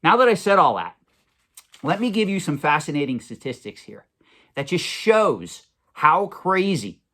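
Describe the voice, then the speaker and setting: A man's voice: on the high side, sing-song, unhurried. A man with a head cold, sounding congested, delivering a one-take monologue to camera as a collectibles investment host, emphatic and prone to repeating himself. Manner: emphatic, repeats himself, swings loud and soft. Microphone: camera mic, self-recorded.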